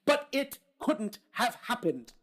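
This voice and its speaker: An actor playing a gruff, suspicious police captain in a radio drama, blunt and sarcastic as he grills his men. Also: extremely high pitch, big pitch swings, slow, a bit bright, exaggerated voice. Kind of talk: old-time radio drama reading.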